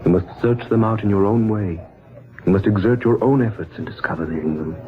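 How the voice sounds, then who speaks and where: An actor playing a wise, mysterious older man in 1940s radio style, measured, solemn and oracular. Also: fairly low pitch, close to an everyday voice. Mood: solemn, majestic. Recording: muffled band-limited 1940s radio recording.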